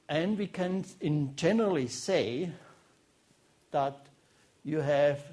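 A man with a Swiss German accent giving a seminar lecture as a biochemist, talking haltingly with many restarts and fillers. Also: a little high, a little mellow. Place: lecture room before an audience.

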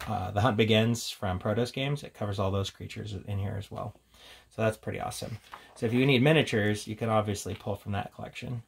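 A man's voice: lowish voice, creaky, slightly rough. A man giving an informal, offhand show-and-tell commentary as a reviewer, casually talking through what is in front of him. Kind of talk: casual hands-on book showcase commentary.

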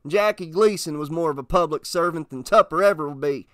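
A man voicing a hot-tempered, blustering small-town father with a gruff growl in an audiobook. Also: on the high side, fairly quick, dry recording, clean studio sound, natural voice. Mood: irritated, blustering. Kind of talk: audiobook character voice.

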